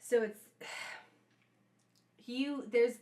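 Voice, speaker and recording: A young woman with a fairly high voice tells stories in an animated way and acts out quick voices and noises. Her voice rings in an echoey room. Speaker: podcast host and actor. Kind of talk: casual chat.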